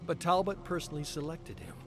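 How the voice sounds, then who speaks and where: A man giving a measured, expressive dramatic reading of game story text as a lore reader on a live stream. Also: fairly high pitch. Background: clear background music.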